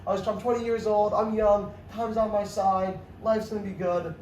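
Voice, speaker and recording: A young man from the New York City area, a guest comedian, telling a story in casual chat, rambling and excitable with lots of restarts. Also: high-pitched, normal speed. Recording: echoey room, mic at a distance, faint noise.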